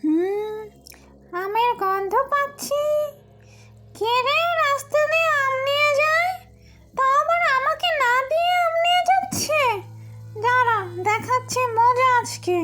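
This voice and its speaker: Super high piercing cartoon witch ghost, vengeful and taunting, music behind. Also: expressive, unhurried, sharp tone, heavily acted. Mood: menacing. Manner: menacing, taunting delivery with cackles. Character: vengeful female ghost witch haunting a mango tree. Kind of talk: exaggerated horror cartoon acting.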